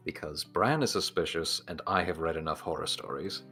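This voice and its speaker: Male tabletop player, very low and gravelly, casual table talk, light music underneath. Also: fairly quick, sharp tone. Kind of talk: casual game-table chat.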